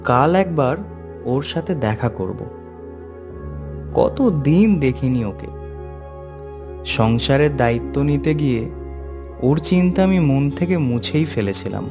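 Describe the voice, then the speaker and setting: A man voicing and narrating as a young man returning to his village in a horror cartoon, swinging between eager and hushed tones. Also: mid-range voice, animated, unhurried, long pauses, music in the background. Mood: musing. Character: young man Ajit, returning home, anxious.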